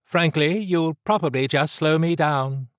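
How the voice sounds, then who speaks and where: A man voicing a steely, brisk woman codebreaker in an audiobook, with a clipped, cool and teasing manner. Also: on the high side, clear, resonant tone, no room sound, studio quality, voice pushed up, natural voice. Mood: steely, challenging. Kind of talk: audiobook character dialogue.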